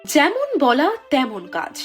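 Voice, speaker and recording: Woman narrator for a cartoon fairy tale, high voice, lively swooping delivery. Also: unhurried, soft background music. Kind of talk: scripted storybook narration.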